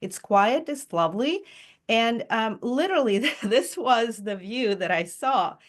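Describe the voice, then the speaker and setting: A woman's voice: on the high side, expressive, measured pace. A woman leading a reading group over a Zoom call, talking in a chatty, enthusiastic way with frequent ums and small laughs. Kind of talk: casual Zoom presentation. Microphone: video call.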